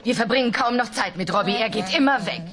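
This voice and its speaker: A woman's voice: a little high, fast, crowd noise, close to an everyday voice. An actress voicing a weary but determined dinosaur mother in a family sitcom dub, firm and reproachful. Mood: miffed. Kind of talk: German-dubbed family sitcom acting.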